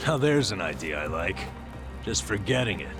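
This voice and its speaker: An actor playing a bitter, world-weary, guilt-ridden man who is gruffly protective, in a blunt, cynical video game performance. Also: sits low, sing-song, normal speed, music in the background. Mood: subdued. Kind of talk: dramatic video game voice acting.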